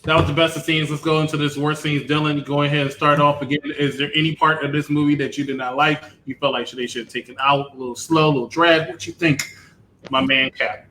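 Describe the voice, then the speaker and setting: Higher-voiced man hosting, noisy recording. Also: level tone, quick pace. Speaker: host. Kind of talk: casual banter, moderating a panel. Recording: clear background noise.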